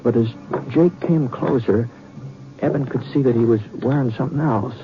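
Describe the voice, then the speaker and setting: A man's voice: mid-range voice, natural voice. Man as a folksy western radio storyteller. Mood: hushed wonder. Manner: folksy, unhurried, dramatic pauses. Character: folksy western yarn-spinner narrating a ghost tale. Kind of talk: old-time radio storytelling narration. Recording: dull vintage radio recording.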